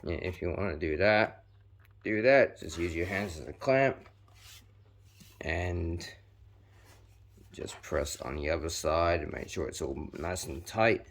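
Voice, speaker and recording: A 19-year-old man, chatting casually through a hands-on how-to while deliberately pushing his voice extra deep. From New Zealand. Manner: chatty, filler-heavy, talks while working with his hands. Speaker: vlogger.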